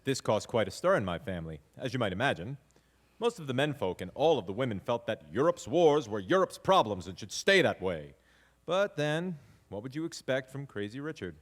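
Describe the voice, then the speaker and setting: A man plays a grandson recounting family history in a live stage monologue. His mid-pitched voice is wry and fond, with lively, varied intonation. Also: a little fast. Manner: storytelling, wry. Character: reflective grandson fondly telling family history. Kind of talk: dramatic monologue narration before live audience.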